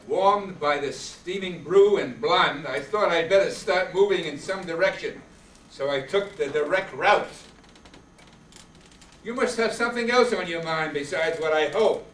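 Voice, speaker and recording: In an echoey room, away from the mic, a man plays a cocky noir wise guy with a high male voice. He is sardonic, slangy and flirtatious, a radio drama hustler after money. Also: a little slow, a bit bright. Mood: cocky, teasing. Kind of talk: 1950s radio noir acting on stage. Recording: echoey room, distant mic, background noise.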